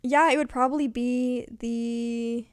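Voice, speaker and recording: A woman's voice: a little high, fairly even intonation, slow, smooth, dry recording, clean studio sound. A young woman co-hosting a book vlog, chatting casually and unscripted in a playful, wry manner. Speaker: book vlogger, co-host asking questions.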